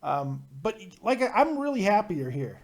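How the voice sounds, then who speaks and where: A man's voice: high voice, sing-song, moderate pace. A man hosting a live stream, roasting his guest in a casual, mock-serious way. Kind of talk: casual joking chat.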